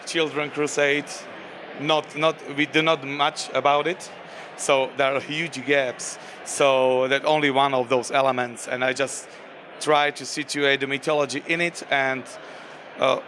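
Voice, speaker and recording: A man from Slovakia with a Slovak accent, a game designer speaking in a convention interview, halting with many fillers and restarts. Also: mid-range voice, little pitch movement, fairly bright tone, a little hiss or hum.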